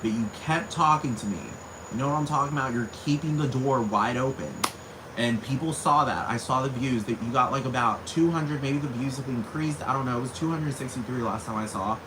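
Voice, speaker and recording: A man's voice: mid-range voice, fairly quick, background noise. A man with a Puerto Rican accent ranting casually in a rambling, profane way, full of fillers. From Puerto Rico. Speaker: vlogger. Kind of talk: casual rant. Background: crickets and insects.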